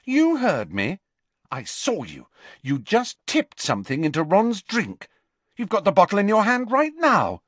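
A man voicing an earnest, indignant teenage schoolgirl in an audiobook, with a sharp, accusing, emphatic manner. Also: sits high, expressive, a little slow, few pauses, dry recording, voice pushed up. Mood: sharp, alarmed.

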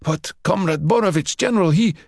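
A man's voice: sits high, brisk, creaky, dry recording, studio quality, voice pushed up. A man voicing a nervous young subordinate who anxiously defends himself to his superior. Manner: gulping, anxious.

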